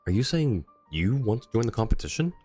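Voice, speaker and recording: A man's voice: fairly low pitch, big pitch swings, fairly quick, dark tone. A man doing casual, chatty livestream commentary on a game, reacting and replying to his chat. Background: faint game music.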